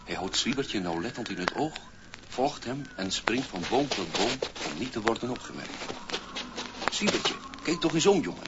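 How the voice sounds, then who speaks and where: A man's voice: medium pitch, very animated, normal speed. A man with a Dutch accent giving theatrical, suspenseful scripted narration for a 1960s radio play. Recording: very noisy, dull old vinyl record.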